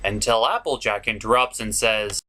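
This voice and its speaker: A man's voice: on the low side, normal speed, bright, forceful tone. A man hosting a scripted comedic cartoon review, narrating in a theatrical, exclamatory way.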